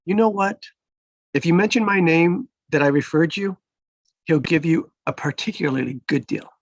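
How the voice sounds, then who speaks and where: Man acting a gravelly, halting friendly cop. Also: fairly high pitch, no echo, dull audio. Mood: friendly, joking. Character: friendly, awkwardly flirty male traffic cop. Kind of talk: live screenplay table read.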